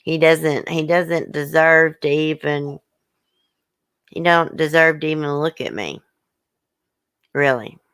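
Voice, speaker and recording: A woman's voice: low-pitched, takes it slowly, pauses often, fairly bright tone, smooth, no room sound, studio quality. A woman hosting a livestream, upset and hurt, bluntly venting and reading viewer comments aloud in casual, swearing speech. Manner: emotional, blunt, colloquial, swears. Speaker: YouTube channel host.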